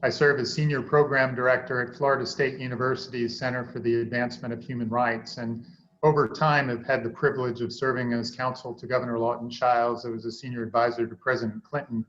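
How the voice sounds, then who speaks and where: A man introducing himself on an online panel in a genial way, with many pauses and fillers. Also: mid-range voice, level tone, a bit bright. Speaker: policy advisor and panelist. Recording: dull lo-fi video call audio.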